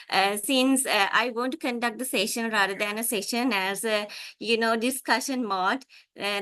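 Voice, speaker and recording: Bright-voiced Sri Lankan woman leading a workshop. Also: on the high side. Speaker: psychological counselor leading a workshop. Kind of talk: informal webinar presentation. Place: online video call.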